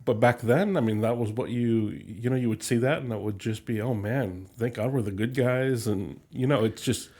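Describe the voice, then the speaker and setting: A man's voice: mid-range voice, normal speed, few pauses, a little mellow. A man co-hosting a podcast in casual chat, talking hesitantly with frequent fillers. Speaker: podcast co-host.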